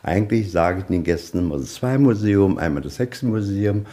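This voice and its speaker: A man's voice: low-pitched, lively intonation, fairly quick, warm tone. A man working as a museum guide, explaining in a plain-spoken, informal way and answering his own questions. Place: indoors, museum anteroom.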